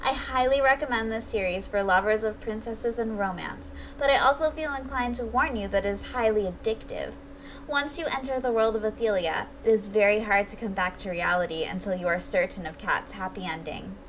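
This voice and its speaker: A woman's voice: mid-range voice, fairly quick, slight background noise, rough recording. A woman narrating a scripted review as a channel host, speaking articulately in full, read-aloud sentences.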